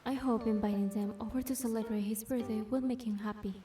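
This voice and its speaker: A woman playing a playful young heroine in a game, narrating softly in the first person. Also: a little high, steady tone, quick pace, close to an everyday voice. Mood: calm narrating. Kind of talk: scripted first-person narration with character dialogue. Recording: studio voice over clear background music. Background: music.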